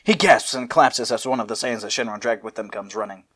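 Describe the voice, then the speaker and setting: A young man narrating fan fiction as host, casual and sweary with animated asides. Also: lowish voice, fast talker.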